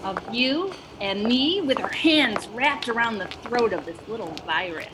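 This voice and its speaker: A woman's voice: on the high side, animated, measured pace, background noise. A woman playing a pragmatic, cynical meatpacking boss in a satirical radio play, dry and mock-earnest. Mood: scheming.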